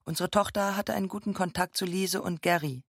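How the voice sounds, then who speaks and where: A woman's voice: fairly low pitch, fairly even intonation, fairly quick, vocal fry, husky, dry recording. An actress playing a mother giving plain, matter-of-fact witness testimony in a documentary radio play. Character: woman witness, mother describing her children's holiday friendships.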